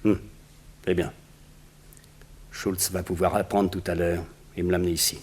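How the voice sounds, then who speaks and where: An actor playing a cold, domineering villain who commands and interrogates in a 1960s radio drama. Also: male voice, sits low, flat delivery, moderate pace, lightly acted. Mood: coldly probing. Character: cold domineering male villain, a hypnotist master giving orders.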